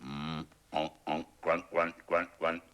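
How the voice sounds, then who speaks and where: A man's voice: extremely low pitch, level tone, a little slow, a bit bright, heavily acted. A man doing a grunting pig.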